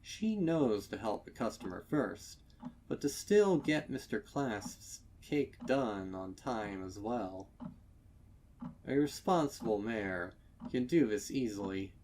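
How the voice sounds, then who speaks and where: A man narrating a horror story from a script, dramatically, with deliberate pauses and ominous emphasis. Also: mid-range voice, darkish tone, slight background noise. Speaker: fan fiction narrator.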